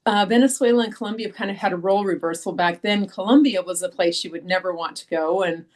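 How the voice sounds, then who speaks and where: A woman's voice: medium pitch, quick pace. Chatty woman author with frequent ums. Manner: chatty, frequent ums and restarts, laughs easily. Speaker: author guest. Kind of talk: casual interview chat.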